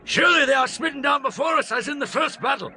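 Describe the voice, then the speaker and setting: An impassioned man of ancient Israel, voiced by an actor, declaiming in a very high, piercingly bright voice. His archaic phrasing belongs to a dramatized Bible audiobook, with music behind him. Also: quick pace, full character voice. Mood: exultant. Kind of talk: dramatized scripture reading.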